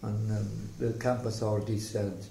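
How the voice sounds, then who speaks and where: An older man from South Wales with a slight Welsh accent and a gravelly voice, telling his life story in a halting, reflective way for an oral history interview. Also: fairly low pitch, level tone, warm tone. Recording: echoey room, faint background noise.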